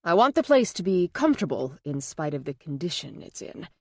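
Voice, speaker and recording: Woman reading as a restrained, low-pitched, earnest man. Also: big pitch swings, a little fast, darkish tone, no echo, studio quality. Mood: earnest, awkward. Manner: restrained, self-conscious, plain-spoken. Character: earnest, slightly awkward man, a U.S. Marshal.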